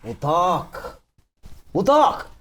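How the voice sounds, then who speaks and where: Brusque mob boss played by a male actor, higher-set voice, lively intonation, table-read drama. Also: very slow, heavily acted. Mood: irritated. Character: brusque, foul-mouthed male mob boss facing retirement. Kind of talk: live script table reading.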